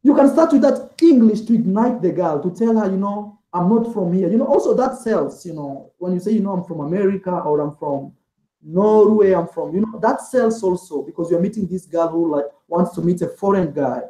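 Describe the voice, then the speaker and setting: Male interview guest, casual and rambling, filler-heavy, mellow tone, echoey room. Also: high-pitched, expressive, brisk. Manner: rambling, heavy use of "like" and "man". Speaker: interview guest, travel vlogger.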